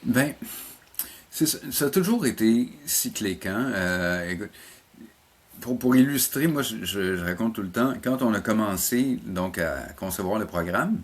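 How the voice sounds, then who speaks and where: Middle-aged man, Quebec French accent, lowish voice, casual and hesitant, circling back on words in conversation. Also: animated, unhurried. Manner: hesitant, repeats words as he searches for phrasing. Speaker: animation teacher and programme coordinator, interview guest.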